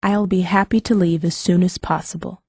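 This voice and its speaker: Steady teen girl mage: plain-spoken, low, mellow with creaky edge. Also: normal speed, dry recording. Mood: matter-of-fact. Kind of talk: full-cast audiobook acting.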